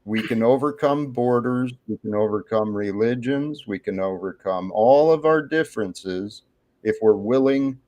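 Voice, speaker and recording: A man's voice: mid-range voice, unhurried, a little mellow, dull audio. A man speaking as a debate guest, making earnest, idealistic declarations. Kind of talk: informal debate.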